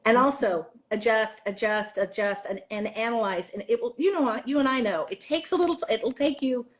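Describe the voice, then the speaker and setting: A woman's voice: a little high, brisk. A woman drama teacher chatting casually in an interview, giving practical advice in an encouraging way and repeating words for emphasis.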